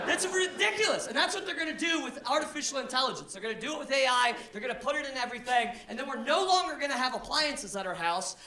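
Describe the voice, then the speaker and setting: A man from Kansas with a high-pitched voice doing a brash, profane stand-up set. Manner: brash, profane, animated storytelling. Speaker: stand-up comedian. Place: large comedy venue before a live audience. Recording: echoey live theater, crowd laughter. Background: audience laughter.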